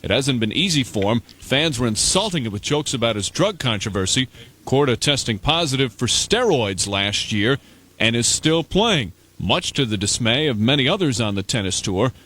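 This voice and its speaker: Male sports reporter, average pitch, scripted radio update delivery with some banter. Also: lively intonation, moderate pace, a bit bright.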